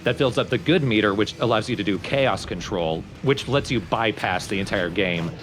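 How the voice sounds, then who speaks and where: A man's voice: on the low side, normal speed. A man giving casual, explanatory let's play commentary on a video game. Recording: game music behind commentary.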